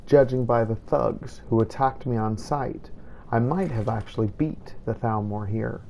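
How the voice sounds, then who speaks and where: Guy doing first person rp narration over a game, mellow dark voice, lots of fry. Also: mid-range voice, a little slow, dull audio, slight background noise. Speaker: gameplay narrator. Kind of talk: scripted first-person role-play narration.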